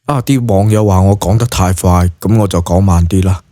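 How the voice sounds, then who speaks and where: A man's voice: low-pitched, normal speed, warm tone, dry recording, clean studio sound. A man with a Cantonese accent narrating a scripted story dramatically, as in an audiobook.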